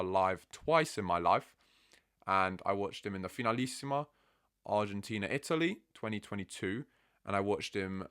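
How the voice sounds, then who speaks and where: A man in his early twenties from England, answering fan questions in a relaxed, chatty way and often saying "bro". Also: lowish voice. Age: 20s. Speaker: football content creator. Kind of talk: casual Q&A chat.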